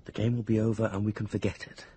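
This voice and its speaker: A man's voice: lowish voice, little pitch movement, fast talker, dark tone, husky. An actor playing an anxious, lovesick young man in a radio drama, earnest and confiding as he tells his own story. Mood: confiding, brooding. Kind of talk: radio drama acting and first-person narration.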